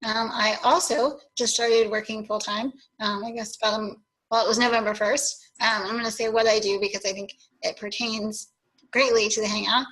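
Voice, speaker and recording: A woman from Texas who works in research, introducing herself with many pauses and ums. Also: a little high, flat delivery, a bit bright, poor audio. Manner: hesitant, lots of ums. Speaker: health researcher. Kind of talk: self-introduction.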